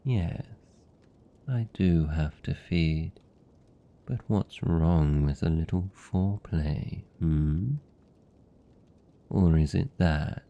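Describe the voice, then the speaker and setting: A man's voice: extremely low pitch, gravelly, dark tone, heavily acted. A British man playing a seductive, possessive vampire captor in an intimate fantasy ASMR roleplay, teasing and condescending in manner. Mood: flirtatious, mocking. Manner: slow, teasing, condescending, velvety menace.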